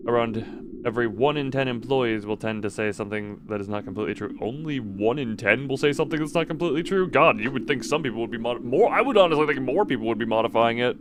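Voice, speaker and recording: A man's voice: mid-range voice, fast talker, a crowd in the background. A man doing casual game livestream commentary and reading game text aloud, often tripping over words and correcting himself. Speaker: game streamer.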